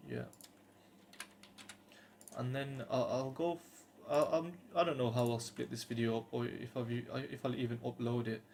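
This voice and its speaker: Gravelly young British man, rambling. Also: mid-range voice, steady tone, normal speed, darkish tone, a little hiss or hum. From United Kingdom. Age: 20s. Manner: rambling, hesitant, earnest. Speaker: vlogger. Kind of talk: casual talk to camera.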